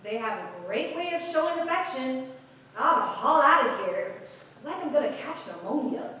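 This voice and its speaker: A woman's voice: high voice, very animated, normal speed, bright, forceful tone, exaggerated voice. A woman in a staged play reading playing a proud, defiant nightclub singer with a slangy, cutting, sardonic manner. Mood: weary, sardonic. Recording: distant, very echoey room.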